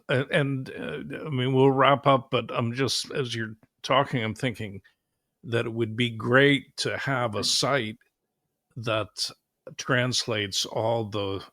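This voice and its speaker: A man hosting a podcast, asking questions in a halting way with many fillers as he searches for words. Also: mid-range voice, steady tone, a bit bright, no room sound, clean studio sound. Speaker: podcast host. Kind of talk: interview questions.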